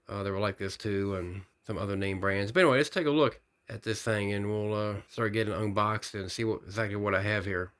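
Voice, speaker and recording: Male hobbyist host, lowish pitch, informal hands-on narration with a musing, tentative delivery. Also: fairly bright tone. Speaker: radio hobbyist video host. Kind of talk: casual unboxing narration.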